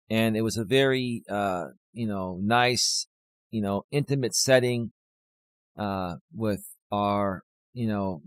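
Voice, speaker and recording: A man hosting his own live stream as a life coach, talking casually to viewers with a slightly hoarse voice and lots of fillers. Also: mid-range voice, no echo. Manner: rambling, many fillers, talks straight to viewers.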